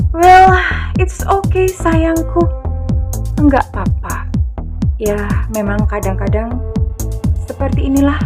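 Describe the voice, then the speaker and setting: Affectionate woman tarot reader over music. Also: fairly high pitch. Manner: affectionate, calls listener darling, refers to herself as Bunda. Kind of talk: tarot reading to camera, addressing viewers. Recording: loud electronic music behind.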